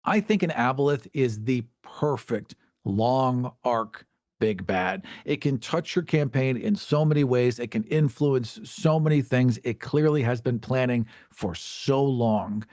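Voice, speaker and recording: A man's voice: mid-range voice, a little slow, a little mellow, dry recording, clean studio sound. Male panelist, average register, conversational game talk, recorded with no room sound. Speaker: podcast panelist. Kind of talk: casual game discussion.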